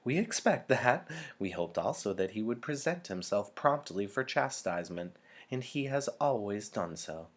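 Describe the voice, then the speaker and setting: Solemn man-voiced chief elder, formal and deliberate. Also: on the low side, sing-song, voice pushed up. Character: chief elder, solemn authoritative woman leader addressing community. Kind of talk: audiobook character dialogue.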